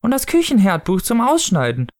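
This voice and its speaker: A man's voice: high-pitched, huge pitch swings, a little fast, voice pushed up. A man reading a storybook as a fussy, protective mother. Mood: cooing. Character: fussy, conspiratorial, protective mother of small child.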